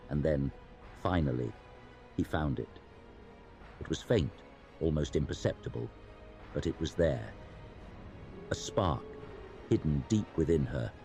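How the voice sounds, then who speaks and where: A man narrating an audio drama in a solemn, measured and theatrical way, as scripted storytelling. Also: a bass voice, mellow. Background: music under the voice.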